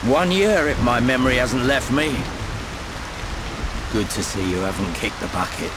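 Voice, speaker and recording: Male actor as seasoned gruff mentor in a game, worldly and teasing, mid-pitched, noisy backdrop. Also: animated, normal speed, natural voice. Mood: wry, knowing. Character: worldly, wry older male veteran adventurer, gruff mentor. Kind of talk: video game cutscene voice acting.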